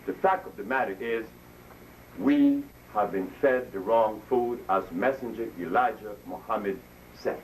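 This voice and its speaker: A man's voice: lowish voice, a little slow. A man in his seventies giving an impassioned lecture to an audience, preaching and drawing call and response from listeners. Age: 70s. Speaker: speaker addressing an audience. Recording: distant mic, faint room noise.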